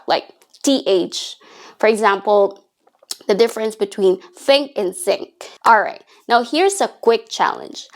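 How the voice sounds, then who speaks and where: A woman teaching English in a video lesson, speaking in a clear, encouraging, instructive way. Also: on the high side.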